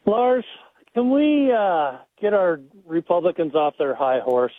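Man, radio caller, high voice, blunt and rambling, tinny phone. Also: animated, measured pace, no room sound. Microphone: phone line.

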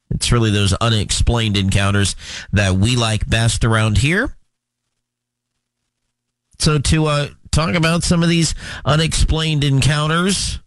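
A man hosting a talk show, giving news updates and introducing a guest, speaking in a measured way with frequent fillers. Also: lowish voice, no echo. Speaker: host. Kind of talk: talk show commentary and interview.

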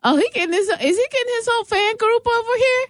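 A woman's voice: very high voice, expressive, quick pace, dry recording. An American woman co-hosting a radio show in a studio, bantering casually and teasing as she chimes in. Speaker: radio co-host.

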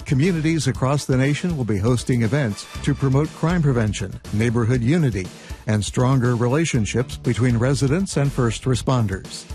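A man reading scripted community calendar announcements on radio in a clear, even manner. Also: medium pitch. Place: radio studio.

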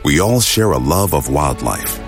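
Deep-voiced man, earnest ad narration. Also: animated, measured pace, soft background music. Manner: earnest, rousing. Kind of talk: scripted advocacy ad narration.

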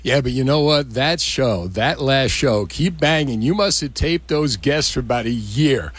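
A man's voice: medium pitch, expressive, a little slow. A man interviewing in a casual, chatty way, enthusiastic and admiring of his guest.

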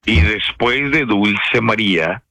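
Northern Mexican male radio personality, interview guest. Forward, bright tone, close dry sound, slangy and expressive storytelling. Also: lowish voice, takes it slowly, dry recording. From Piedras Negras, Mexico. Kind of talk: casual anecdotal interview.

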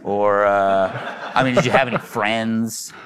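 A middle-aged man hosting a comedic panel talk show, telling jokes with a sardonic, deadpan delivery. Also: lowish voice, a little slow.

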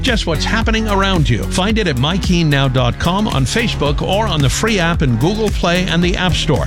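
A man reading a radio ad in a brisk, casual way. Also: high-pitched. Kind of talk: radio ad read. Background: music bed.

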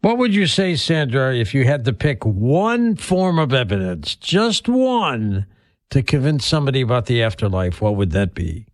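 A man's voice: medium pitch, very animated, moderate pace, dry recording, studio quality. A man hosting a radio interview, putting questions to his guest.

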